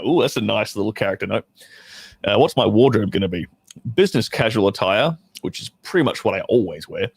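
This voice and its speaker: A man's voice: on the low side, slightly rough, no echo. A man chatting casually and informally to livestream viewers, thinking aloud as he reads from a script, with banter and some mild swearing. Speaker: adult film actor reading a script to viewers.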